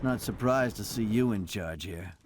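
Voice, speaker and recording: A man playing a relaxed American covert agent. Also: on the low side, moderate pace, noisy background, close to an everyday voice. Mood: friendly. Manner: relaxed, joking banter.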